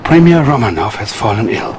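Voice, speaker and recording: An actor playing a coolly controlled, scheming Soviet advisor in a video game, speaking with a Russian accent in a deliberate, smug, menacing way. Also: male voice, medium pitch, sing-song, a little mellow, slight background noise, lightly acted.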